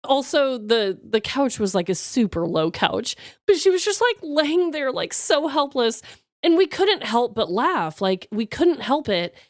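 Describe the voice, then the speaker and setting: A woman co-hosting a podcast, telling personal stories in an expressive, giggly, casual way with some swearing. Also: high voice, unhurried, dry recording, studio quality. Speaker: podcast co-host. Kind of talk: casual chat, animated personal storytelling.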